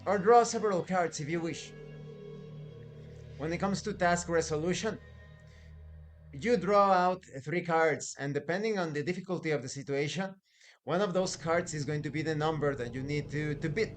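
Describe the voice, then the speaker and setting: A man with a fairly high voice presents an informal game review. He explains step by step, pausing and restarting, and slips in conversational asides. Faint music plays behind him. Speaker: host of a tabletop game review show. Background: background music.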